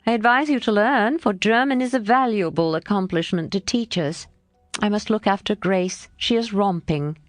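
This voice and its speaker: A woman performs a haughty, accomplished young lady who talks down to others, in a storybook reading. Her voice sits higher than the narration, with a patronizing edge, recorded dry and close. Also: moderate pace, no echo, natural voice.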